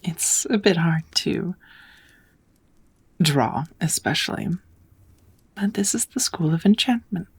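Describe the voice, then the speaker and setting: A woman playing a kind, patient witch who teaches magic in an ASMR roleplay, speaking softly and often in a whisper, in a gentle, measured, encouraging way. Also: fairly low pitch, lively intonation, full character voice. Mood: patient, explaining. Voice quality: soft, breathy, often whispered.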